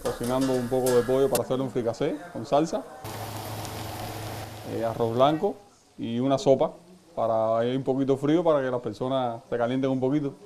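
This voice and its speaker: A man's voice: medium pitch, quick pace, dark tone. A man, cooking as he answers an interview question. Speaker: shelter resident who cooks.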